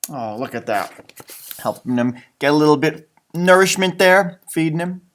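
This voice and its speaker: Animated man reading to young children. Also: on the high side, normal speed. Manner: animated, exaggerated, teacherly, repeats phrases for children. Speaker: music teacher reading storybooks aloud to children. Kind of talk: children's storybook read-aloud with chatty asides.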